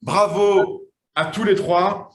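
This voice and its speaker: A man hosting a live quiz, lively and chatty, slowing down to build suspense. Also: sits high, roomy sound. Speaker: quiz show host.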